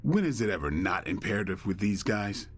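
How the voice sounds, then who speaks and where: Tough monster hunter for a game: low, sardonic, curt, with expressive swings in pitch. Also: male voice, fairly quick, faint music behind. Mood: brusque, wry. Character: brusque, dogged male monster hunter, tough. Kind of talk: video game cutscene acting.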